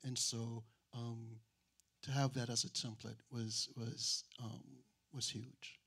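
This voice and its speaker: A middle-aged man, speaking reflectively in a panel discussion, pausing and rephrasing as he goes into a handheld mic. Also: medium pitch, little pitch movement, vocal fry, sharp tone, breathy edge. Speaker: writer and critic on a panel. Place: auditorium stage. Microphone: handheld microphone held near mouth.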